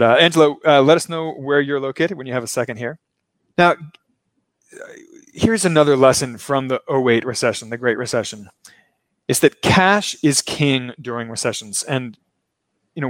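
A man's voice: medium pitch, dry recording. A man hosting a casual finance chat, explaining ideas in a loose lecturing way with frequent laughs. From Baltimore, Maryland. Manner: laughs often, talks with a loose lecturing rhythm. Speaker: show host. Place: remote, in Brazil.

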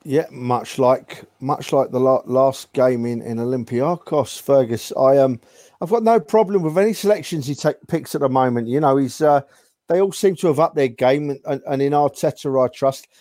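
Male guest, warm tone, stumbling, dry mic. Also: mid-range voice, normal speed, no room sound. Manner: hesitant, stumbling. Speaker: panel guest. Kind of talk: casual fan chat.

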